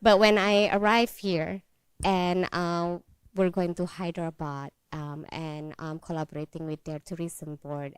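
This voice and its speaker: A woman from Indonesia who works as a travel content creator, giving an earnest, halting comment to a panel. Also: low voice, clear, resonant tone, no room sound, poor audio. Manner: earnest, halting, searching for words.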